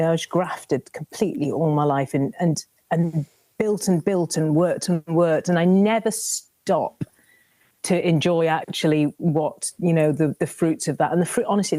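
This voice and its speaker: A woman, a cookery presenter telling stories in an animated way with many restarts during a radio interview. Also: deep voice, no room sound. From Lancashire, England. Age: 50s.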